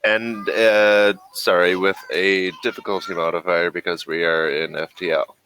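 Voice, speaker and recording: A man runs a tabletop game at an unhurried pace. His voice sits low and has a bright, forward edge. He talks in a loose, halting way, full of fillers and restarts. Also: clean tone, low-quality recording. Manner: relaxed, halting, lots of fillers. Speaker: game master.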